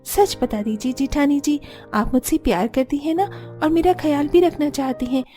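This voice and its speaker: A woman voicing a sharp-tongued young sister-in-law in a cartoon story, quick to argue. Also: high voice, faint music behind, close to an everyday voice. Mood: teasing. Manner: quick, argumentative, sulky. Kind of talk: cartoon voice acting.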